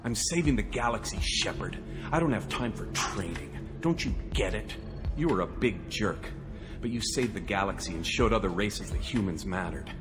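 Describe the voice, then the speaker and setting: A male actor plays an eager, self-important fanboy who fancies himself a space hero in a video game. His pitch leaps around animatedly as bluster turns to earnest pleading. Music plays clearly behind him. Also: lively intonation, normal speed, natural voice. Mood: defensive, earnest.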